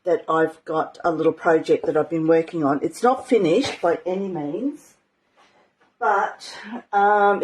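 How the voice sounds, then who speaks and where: A woman teaching a live art journaling session in a casual, rambling way, with many ums and a slightly croaky voice. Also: fairly low pitch, darkish tone, a little hiss or hum, low-quality recording. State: croaky, clearing her throat. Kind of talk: casual live craft demonstration.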